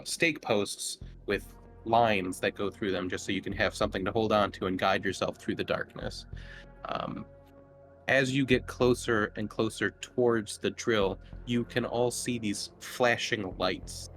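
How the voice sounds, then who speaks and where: A man narrating a tabletop horror game as game master in a halting, conversational way. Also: on the low side, a bit bright.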